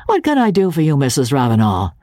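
A woman voicing a smooth, patronizing young hotel clerk who flatters and soothes. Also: low voice, fast, no room sound, clean studio sound. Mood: soothing.

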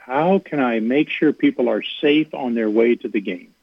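A man's voice: medium pitch, normal speed, creaky, slightly rough, dry recording. A man who is a university athletic director, speaking as a guest in a radio interview, earnest and given to repeating words as he starts a thought. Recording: tinny phone line.

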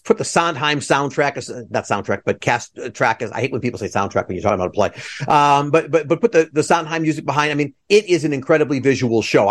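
A man hosting an interview podcast over a video call, talking in an effusive, rambling, digressive way. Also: medium pitch. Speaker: podcast host.

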